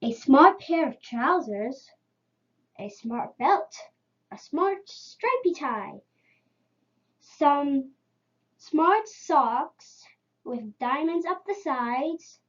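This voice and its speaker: A woman's voice: sits high, animated, drawn-out words, pauses often, a bit bright, dull audio. A woman reading a children's story aloud as a storyteller.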